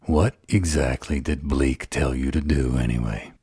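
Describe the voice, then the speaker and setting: A man playing a sardonic, flirtatious vampire interrogator in an audio drama, with a slow, sarcastic delivery. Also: a little mellow, dry recording, clean studio sound, heavily acted. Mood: sardonic, probing. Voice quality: very deep, gravelly, husky. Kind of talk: dramatic audio roleplay acting.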